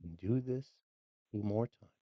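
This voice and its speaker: A meditation guide speaks very slowly and calmly, leaving long pauses between short phrases. The voice is dry, with little room sound, and the recording is slightly dull. The delivery is scripted and unhurried, made for guided relaxation. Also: medium pitch, dry recording.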